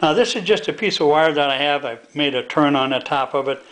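Older american guy showing how his gadget works, kinda high voice, folksy and halting, chatting at his workbench. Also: fairly bright tone. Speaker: hobbyist demonstrator. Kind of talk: casual hands-on demonstration. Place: indoors at a home workbench.

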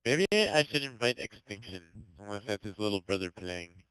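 A man's voice: lowish voice, animated, moderate pace, a bit bright, smooth, dry recording, rough recording. A teenage boy on a gaming headset who drawls his lines and breaks into bits of song while he plays. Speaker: gamer in voice chat. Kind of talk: casual party chat while playing.

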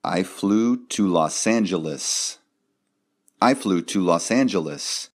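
A man teaching English, reading each drill sentence twice in a deliberate way. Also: low voice, lively intonation. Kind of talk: slow, repeated drill sentences for learners.

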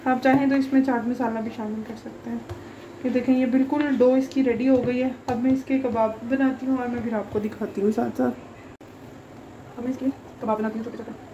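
A woman's voice: fairly high pitch, little pitch movement, fairly quick, pauses often, warm tone, lo-fi sound, a little hiss or hum. A young woman hosting a home cooking channel, explaining a recipe step by step in a chatty, homely way with many fillers. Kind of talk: casual cooking tutorial voice-over. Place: home kitchen.

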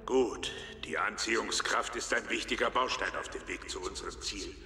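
An actor playing a commanding, calculating villain in an audio drama. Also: male voice, on the low side, moderate pace, vocal fry, sharp tone, soft background music, full character voice. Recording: echoey hall with long reverb.

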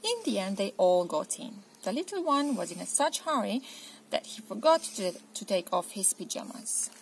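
A woman reads a children's story aloud. Her delivery is deliberate and expressive, with animated intonation at a medium pitch. Faint noise runs in the background. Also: poor audio. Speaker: storybook reader. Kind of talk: children's picture book read-aloud.

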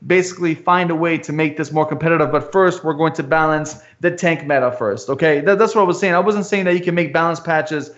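A man's voice: a little high, fairly even intonation, quick pace, few pauses, fairly bright tone. A man whose first language is Albanian, speaking earnestly in a casual video-call chat, often restarting his sentences. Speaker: podcast host. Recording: muffled lo-fi call audio.

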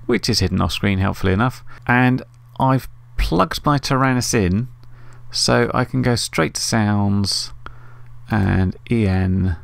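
A man with a fairly low, gravelly voice talks through a tutorial as he works. His delivery is informal and thinking-aloud, and the sound is dry and close. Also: measured pace, no echo. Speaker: tutorial host. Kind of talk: casual screen-recorded tutorial. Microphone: USB desktop microphone.

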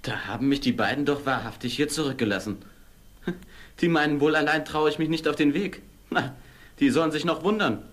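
An actor playing a plucky, good-natured young miller's lad in a fairy tale audio play. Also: male voice, on the high side, a little fast, fairly bright tone. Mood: undaunted.

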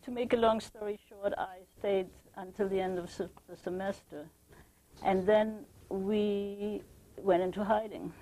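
An older woman from Belgium giving an oral history testimony, speaking haltingly with frequent restarts. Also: mid-range voice, steady tone, dark tone, poor audio. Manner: hesitant, halting, many restarts.

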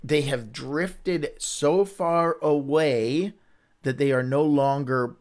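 A man's voice: on the high side, slow pace. Earnest candid man leading Bible study. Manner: earnest, candid, conversational teaching; rambling with frequent restarts. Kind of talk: livestreamed Bible reading and discussion.

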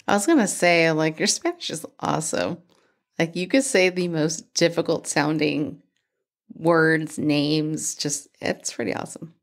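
A woman's voice: low-pitched, unhurried, creaky. A woman co-hosting a podcast in casual chat, chatty and teasing, laughing often. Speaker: podcast co-host.

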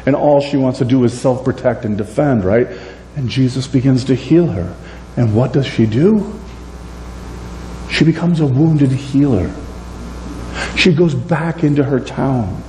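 Man in his 40s, pastor, sermon, animated delivery, warm dark tone, echoey sanctuary. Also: medium pitch, unhurried, pauses often. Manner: animated, gesturing widely, swings between loud and soft. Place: church sanctuary, indoors. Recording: echoey church room, faint hum.

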